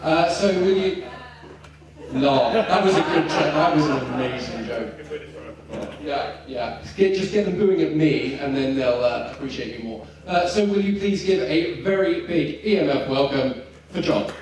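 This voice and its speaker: A man hosting a festival tent stage introduces the next speaker, cracking playful jokes at his own expense. His voice sits a little high and sounds distant, bouncing around a large echoing space. A faint ambient hiss runs under it. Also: expressive, a little slow. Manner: playful, self-mocking jokes. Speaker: event host introducing a speaker. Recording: roomy tent captured from a distance.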